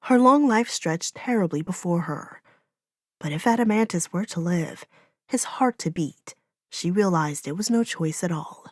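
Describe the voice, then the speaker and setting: A woman's voice: lowish voice, lively intonation, measured pace, no echo. Woman narrating fantasy, dry close sound. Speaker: audiobook narrator. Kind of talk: scripted fantasy story narration.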